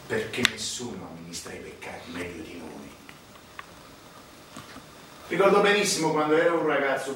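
An actor playing an arrogant, unrepentant Sicilian mafioso, delivering a solemn, declamatory stage monologue with dramatic pauses. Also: male voice, mid-range voice, a bit bright, slight background noise. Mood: arrogant, menacing. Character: proud unrepentant Sicilian male mafioso confessing, arrogant, self-righteous. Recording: echoey distant stage recording with music. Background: music.

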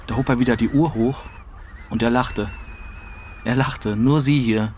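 A man reading literary prose as a dramatic narrator, in a measured, deliberate storytelling way. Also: medium pitch, fairly even intonation, darkish tone, a little hiss or hum. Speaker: literary reader.

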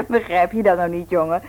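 An actress playing a teasing, lively grown daughter in an old Dutch radio family serial, chatting brightly with her relatives. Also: fairly low pitch, moderate pace. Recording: noisy old radio play recording.

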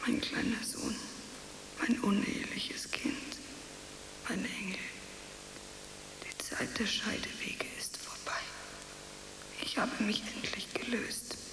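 An actress playing a frail, self-pitying young woman in a breathy, theatrical radio play manner. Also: mid-range voice, echoey room, big-room sound, poor audio. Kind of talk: German radio play acting.

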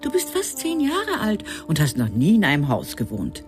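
A woman's voice: deep voice, huge pitch swings, moderate pace. An actress playing an embittered, scornful mother in her forties, in a radio drama with music. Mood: coaxing. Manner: scornful, emphatic.

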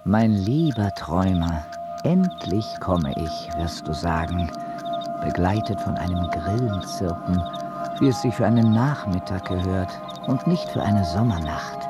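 An actor playing a guardian angel in a German radio drama, musing with gentle irony to a sleeping dreamer. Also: low voice, big pitch swings, measured pace. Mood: wry, musing. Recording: loud music under the voice.

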